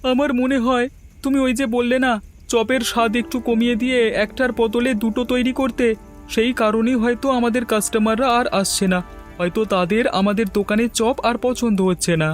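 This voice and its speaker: A man's voice: very high-pitched, steady tone, brisk. A man voicing an earnest, deferential shop helper in a cartoon story, reasoning. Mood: earnest, cautioning. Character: earnest male chop-shop helper advising his boss. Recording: faint music under the voice.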